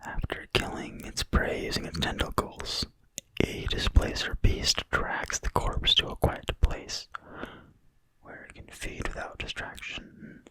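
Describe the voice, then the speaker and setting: A person reading in a quiet, breathy whisper, soft and deliberate, as in an ASMR reading of a fantasy book. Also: no echo, poor audio. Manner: slow, soft, unhurried, deliberate. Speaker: ASMR video creator and reader.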